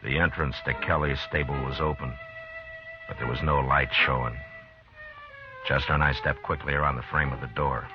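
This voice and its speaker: Very deep-voiced, stern frontier marshal. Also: male voice, normal speed, over music. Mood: narrating, tense. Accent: American. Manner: terse, calm, authoritative. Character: frontier U.S. marshal, steady, stern, grave lawman. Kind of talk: old-time radio western acting. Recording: noisy, muffled old radio recording.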